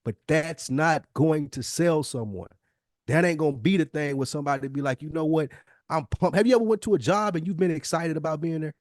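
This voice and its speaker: A man's voice: a little high, fairly quick, no echo. Man explaining emphatically, close dry sound. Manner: emphatic explaining, rhetorical questions. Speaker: podcast co-host. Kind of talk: conversational podcast discussion.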